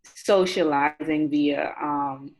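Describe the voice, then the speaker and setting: Low-voiced young woman, chatting candidly. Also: steady tone, takes it slowly, darkish tone. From Nassau, Bahamas. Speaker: interview guest, chemistry graduate student. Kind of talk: casual chat. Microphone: video call. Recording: dull, lo-fi video call audio.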